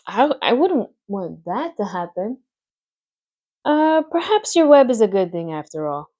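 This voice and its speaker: A woman's voice: mid-range voice, very animated, a little slow, warm tone, no echo, muffled recording. A woman reading a storybook aloud, voicing an innocent young male pig who gasps and pleads. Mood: anxious. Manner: gasping, pleading.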